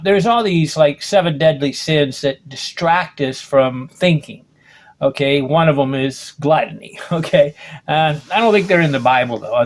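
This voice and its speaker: A man in his late sixties, from the United States, talks in a loose, rambling monologue. His voice sits a little higher than most men's, and he keeps circling back to reword his thoughts, dropping in "okay?" as he goes. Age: 60s. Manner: rambling, restarts sentences, keeps asking "okay?". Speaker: video blogger.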